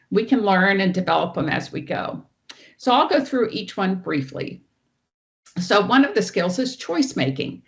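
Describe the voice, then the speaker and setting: A woman presenter with a loud teacher voice, giving an online training talk and walking through each slide. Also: mid-range voice, highs cut off. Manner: explains clearly, walks through each slide. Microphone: online meeting audio.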